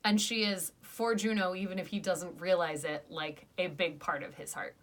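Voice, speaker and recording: A woman's voice: fairly low pitch, steady tone, normal speed. A young woman hosting a casual, enthusiastic podcast review chat full of fillers. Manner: chatty, enthusiastic, many fillers. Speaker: podcast reviewer, channel host.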